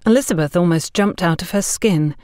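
A woman narrates an audiobook story in a fairly low voice. The sound is dry and close, with a scripted storytelling delivery. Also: fairly quick, a little mellow, dry recording.

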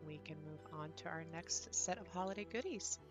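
A woman vlogger, congested and raspy from just waking up, chatting enthusiastically through a home decor showcase with ums and throat clearing. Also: lowish voice, normal speed, over music. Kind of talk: casual product showcase narration.

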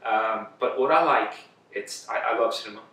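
A man's voice: fairly low pitch, moderate pace, sharp tone. A man in his thirties whose first language is Greek, an actor talking thoughtfully in an interview while seated indoors, often restarting his sentences. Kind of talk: casual interview talk. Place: indoor room, seated on a sofa. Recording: roomy, slightly distant sound.

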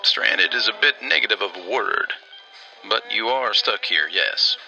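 A man's voice: fairly low pitch, animated, unhurried, sharp tone, faint music behind, full character voice, poor audio. An actor playing a plain-spoken, guarded small-town railman in a radio drama, dry and matter-of-fact. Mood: apologetic, matter-of-fact. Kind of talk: old-time radio drama acting.

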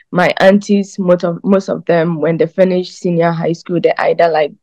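A woman's voice: deep voice, mellow, no room sound, muffled recording. A young woman from Ghana giving a hesitant testimony over a video call from a small room. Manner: hesitant, lots of fillers. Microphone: video call laptop.

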